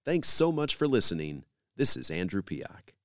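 A man hosting a podcast, reading scripted links in a measured, announcer-like way. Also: fairly low pitch, very animated, fairly bright tone, no echo, studio quality. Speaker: podcast host. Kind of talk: scripted podcast host narration.

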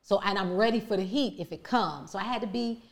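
A woman with a deep voice telling her personal story candidly and emphatically, with many fillers and restarts. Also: fast. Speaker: guest.

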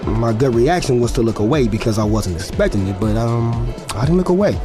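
A guarded young pro football player played by a male actor tells his story as audio drama narration. He speaks at a middle pitch in a hesitant, candid, conversational way, as if confiding in the listener. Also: lively intonation, brisk, over music, lightly acted. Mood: reflective, confiding.

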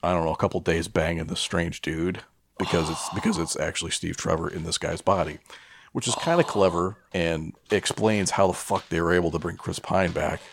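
Deep-voiced, foul-mouthed man rambling casually. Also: steady tone, brisk, gravelly, noisy background. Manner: profane, irreverent, rambling. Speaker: podcast co-host. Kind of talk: casual chat, retelling a film plot.

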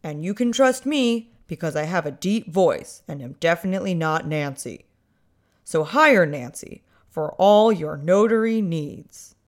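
A cheesy, overly upbeat announcer pitches a product with exaggerated enthusiasm, as a woman puts on the voice. The voice sits a little low and swoops up eagerly on its key words, in the manner of a mock radio advertisement. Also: animated, unhurried. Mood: salesy.

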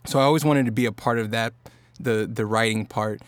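Guy from virginia chatting on a podcast, smooth clear voice, dry close sound, lots of ums. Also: on the low side, a little fast, no echo. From Norfolk, Virginia. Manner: relaxed, rambling with many fillers and restarts. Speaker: singer-songwriter guest. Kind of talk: casual podcast chat.

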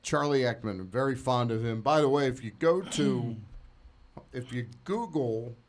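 A man's voice: medium pitch, expressive. Rambling sports radio host in his sixties. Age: 60s. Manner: digressive, halting, trails into asides. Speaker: sports talk show host.

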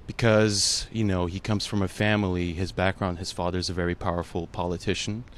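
Russian-born male actor at a panel: low-pitched, heartfelt, halting delivery over faint room noise. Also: level tone. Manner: earnest, emotional, many "you know" fillers. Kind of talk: panel interview answer.

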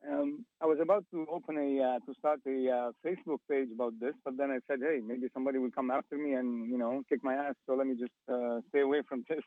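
A man's voice: mid-range voice, fairly even intonation, fast, hardly any pauses, no echo. A man from Lebanon with a Lebanese accent, calling in to a talk radio show and searching for his words. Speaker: caller. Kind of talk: phone-in call. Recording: phone line.

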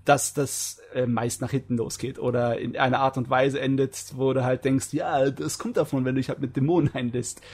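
A man's voice: medium pitch, steady tone, normal speed, few pauses, a little mellow, breathy edge. A man talking in a casual, colloquial and jokey way in a group discussion, as a podcast host.

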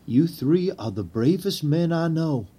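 An actor playing a proud, nervous ringleader of assassins, speaking in a theatrical, formal manner in a period drama. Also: male voice, a little high, expressive, a little slow, warm tone, breathy edge. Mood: grave, rousing. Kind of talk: historical audio drama acting.

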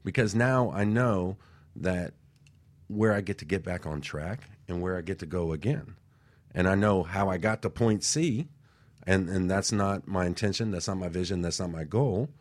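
A man's voice: sits low, moderate pace. A man co-hosting a motivational talk show, explaining ideas at length, repeating himself and often checking in with "right?". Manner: rambling, repeats words, tags lines with "right?". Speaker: co-host.

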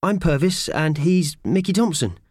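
A man voicing a small, earnest, practical creature in a children's story, speaking in a brisk explanatory way. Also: fairly high pitch, lively intonation, a little mellow, no echo, clean studio sound, pitched above the performer's own voice. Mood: earnest, helpful.

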